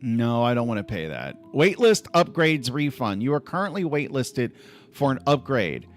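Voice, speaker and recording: Lively rambling man streaming from home. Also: mid-range voice, normal speed, faint music behind. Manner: rambling, restless, full of restarts and "like". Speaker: livestream host. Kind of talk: casual livestream chat. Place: at home on a laptop.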